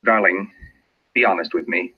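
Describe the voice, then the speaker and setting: A man's voice: low voice, normal speed, breathy edge. A man playing an uneasy, suspicious husband, hesitant and earnest, in vintage radio drama style. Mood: uneasy, probing. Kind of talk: 1940s radio acting. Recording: dull, noisy old radio recording.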